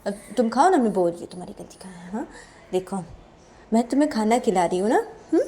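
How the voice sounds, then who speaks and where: A woman's voice: medium pitch, animated, fast, warm tone, voice pushed up, natural voice. A woman playing a bossy, sulky young wife in an audio romance story, scolding insistently with questioning hums. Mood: reproachful.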